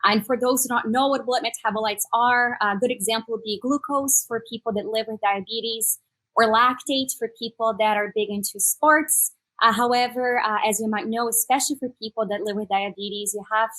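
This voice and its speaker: A woman's voice: fairly high pitch, brisk, fairly bright tone, low-quality recording. A woman in her thirties from Brazil with a Brazilian Portuguese accent, a native Portuguese speaker, explaining her startup in an upbeat interview with many "uh" fillers. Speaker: startup executive guest. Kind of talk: conversational interview on a panel.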